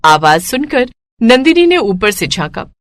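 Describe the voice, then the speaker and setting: A woman's voice: a little high, very animated, measured pace, no room sound, clean studio sound. A woman narrating a scripted moral story as a storyteller.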